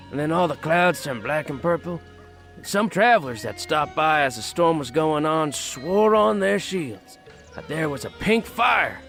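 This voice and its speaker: A man's voice: on the high side, fairly bright tone. A man playing a cheerful, talkative, folksy villager with a teasing manner in a fan audio drama.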